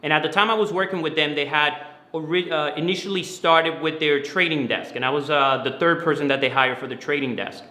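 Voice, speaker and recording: A man's voice: fairly high pitch, fairly even intonation, brisk, hardly any pauses, bright, forceful tone. A man from the Dominican Republic who grew up in New York, giving earnest, explanatory interview answers and often repeating words as he goes. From Dominican Republic, moved to New York City at eleven. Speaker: guest, finance mentoring company founder. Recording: roomy, echoey sound.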